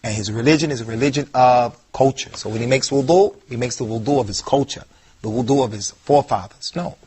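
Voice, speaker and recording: A man delivers a televised lecture in a husky, slightly breathy voice set at an everyday middle pitch. He speaks emphatically and circles back to repeat the points he wants to stress. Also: a little fast, a little mellow. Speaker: Islamic lecturer.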